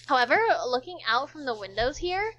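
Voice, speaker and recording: A woman with a high, very animated voice reads a visual novel aloud. She moves between narration and casual joking asides, tripping on the odd word. The sound is slightly dull and lo-fi. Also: brisk, slight background noise.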